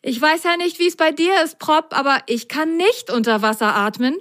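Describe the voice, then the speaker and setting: A woman voicing an eager, adventurous young male sock in a children's story, chatty and excitable. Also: sits high, moderate pace, voice pushed up. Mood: worried.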